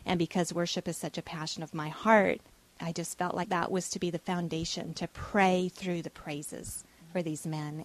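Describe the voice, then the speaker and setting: A woman's voice: lowish voice, low-quality recording. A woman from Texas with a Texan accent, a radio show guest telling a heartfelt personal testimony. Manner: earnest, heartfelt storytelling.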